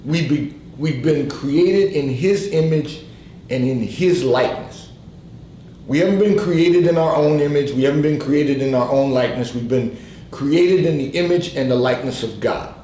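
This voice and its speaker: A man hosting a faith advice livestream, giving spoken devotional guidance in a slow, repetitive preaching cadence, hoarse from allergies and clearing his throat. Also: a little high, dark tone, echoey room, slight background noise, poor audio.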